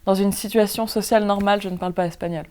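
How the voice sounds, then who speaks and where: A woman's voice: mid-range voice, steady tone, dark tone. A young woman born in Spain, an improv performer, answering interview questions casually with many fillers. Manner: hesitant, many fillers, quick asides. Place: outdoors at a campsite lake.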